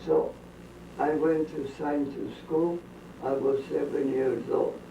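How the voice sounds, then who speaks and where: An elderly woman, slowly telling rambling family stories, drifting off topic and voicing blunt opinions. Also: sits low, steady tone, dark tone. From Bukovina, then Austria. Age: older. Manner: slow, halting, drifting off topic with strong opinions. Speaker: interviewee. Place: family home during a dinner gathering. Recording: roomy, distant, dull lo-fi home tape with faint hiss.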